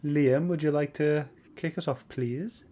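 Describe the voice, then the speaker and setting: A man's voice: a little high, measured pace, mellow. A man hosting a casual, chatty book club discussion, speaking informally and moving things along. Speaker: podcast host. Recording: background noise behind the voice.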